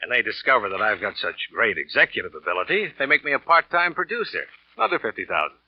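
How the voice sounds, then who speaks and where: Dull vintage radio recording, a cool scheming American ex-lover, low swooping voice, sardonic, offhand, 1940s thriller style. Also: male voice, big pitch swings, quick pace, no room sound. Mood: boastful, offhand. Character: scheming, cynical ex-lover, a smooth-lying aircraft salesman. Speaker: lead actor and first-person narrator. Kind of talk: 1940s radio thriller acting with confessional narration.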